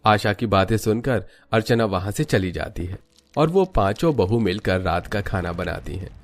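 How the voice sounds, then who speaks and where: Low-pitched male story narrator. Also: expressive, normal speed. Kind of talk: scripted children's story narration.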